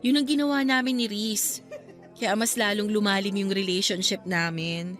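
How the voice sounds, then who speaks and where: A woman's voice: mid-range voice, steady tone, normal speed, fairly bright tone, soft background music, natural voice. A young Filipino woman acting in a radio drama as a devoted graduate grieving her late best friend, earnest and sentimental. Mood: tender longing. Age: young adult.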